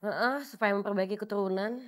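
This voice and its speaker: A woman's voice: medium pitch, fairly quick, fairly bright tone, clean tone. A young woman guest on a comedy show, talking candidly and a bit sassily about her housemates. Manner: candid, chatty, a little sassy. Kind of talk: casual chat on a comedy show.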